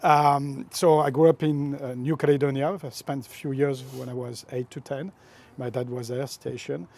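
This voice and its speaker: A man's voice: mid-range voice, level tone, normal speed, vocal fry, a little mellow. A middle-aged man from France with a French accent, a guest in a casual interview chat, talking in an expansive, philosophical, emphatic way.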